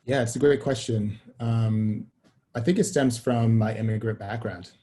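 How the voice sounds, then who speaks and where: A man's voice: on the low side, steady tone, moderate pace, mellow. A young adult man from Sweden, a guest talking on a video call in a thoughtful, expansive way with frequent restarts. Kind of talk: conversational interview on a video call.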